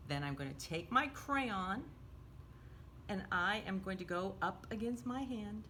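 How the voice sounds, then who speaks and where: A woman's voice: mid-range voice, expressive, measured pace, sharp tone, slight background noise. A woman hosting a craft storytime for young children, giving simple, encouraging step-by-step instructions and often referring to herself in the third person.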